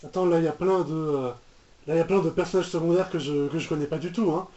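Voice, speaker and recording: A man's voice: a little high, steady tone, fast, over music. A man giving casual, off-the-cuff reaction commentary, hesitant, repeating words and trailing off. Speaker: reaction video host. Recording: echoey room, muffled lo-fi microphone.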